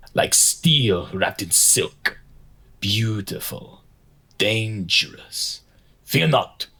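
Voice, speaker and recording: A man playing a wise old mystic master in an audio drama, speaking in cryptic, poetic phrases with a creaky voice. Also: lowish voice, a bit bright, heavily acted. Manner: slow, cryptic, poetic speech. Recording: clearly noisy recording.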